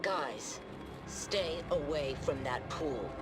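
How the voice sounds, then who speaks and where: Casting: tough skeptical squad member, deep female voice, wary and direct, music behind. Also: animated, takes it slowly. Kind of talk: video game cutscene acting. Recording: loud music under the voice.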